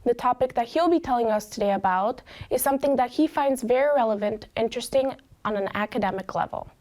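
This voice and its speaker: A young American woman in her twenties with a slightly high voice. She speaks as a student presenter, delivering a prepared introduction of fellow speakers. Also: fast talker, a little mellow. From Chicago, United States. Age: 20s.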